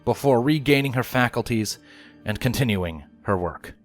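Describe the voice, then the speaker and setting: A man's voice: medium pitch, animated, moderate pace, faint music behind. A man narrating a scripted story as the narrator of a fan fiction audio drama.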